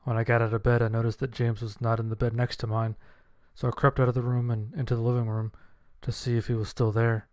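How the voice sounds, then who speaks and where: A man's voice: mid-range voice, flat delivery, fast talker, a little mellow, dry recording, clean studio sound. A man reading a scripted first-person horror story as its narrator. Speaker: audiobook narrator. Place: voice-over with background music.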